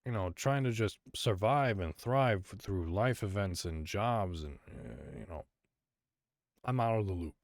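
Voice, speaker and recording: A man from Illinois hosts his own podcast alone, thinking aloud as he goes. His voice sits very low, and he drifts between thoughts with plenty of fillers and false starts. The sound is dry and close, with no room around it. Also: expressive, measured pace, no echo. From Illinois, USA. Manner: rambling, hesitant, many fillers and restarts. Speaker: podcast host. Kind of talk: solo casual podcast monologue.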